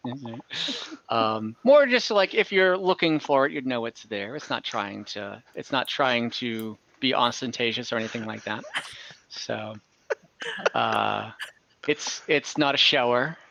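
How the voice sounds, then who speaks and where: A man acting as game master, casually narrating a scene to friends and feeling for his words in a halting way, over an online voice chat. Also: mid-range voice, animated, creaky, bright, forceful tone, no echo. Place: online group voice call.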